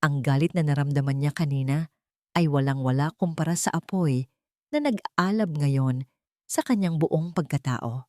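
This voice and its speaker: A woman with a low voice narrates a scripted story as an audio drama. She is solemn and dramatic, and the recording is dry and close. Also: normal speed, a little mellow, no echo.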